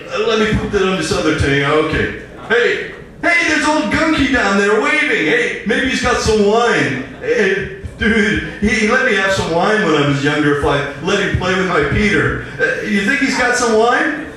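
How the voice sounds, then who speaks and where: A man's voice: high voice, expressive, pushed and bright, pitched above the performer's own voice. Guy doing a dopey whiny slacker goon, kinda reluctant, slangy, echoey and far from the mic. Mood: dim, distracted. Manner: slangy, whiny, slow-witted. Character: dim, reluctant, childish slacker thug. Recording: roomy live stage, distant mic, faint noise.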